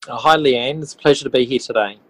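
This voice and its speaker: A man in his forties with an Australian accent, talking as a guest in a casual podcast interview in a halting, reflective way. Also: medium pitch, a bit bright, no room sound, low-quality recording. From country New South Wales, Australia. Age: 40s. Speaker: interview guest, schoolteacher and author.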